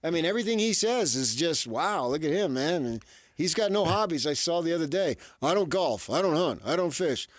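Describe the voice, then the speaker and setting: A man's voice: a little high, expressive, a little fast, no room sound. A man co-hosting a sports radio show, talking casually from a studio on a close mic. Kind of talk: casual sports talk banter.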